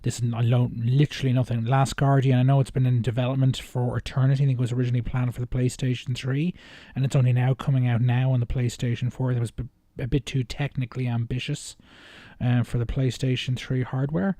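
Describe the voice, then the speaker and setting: Man, mellow relaxed tone, dry sound, casual game reaction commentary, unscripted, hesitant, rambling, frequent rephrasing. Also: medium pitch, fast talker, dry recording. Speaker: reaction video host.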